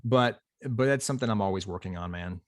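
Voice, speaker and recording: A man talking casually as a guest, with a loud, boisterous voice and an earnest, rambling way of speaking. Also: lowish voice, fast, no echo, studio quality. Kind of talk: casual conversation.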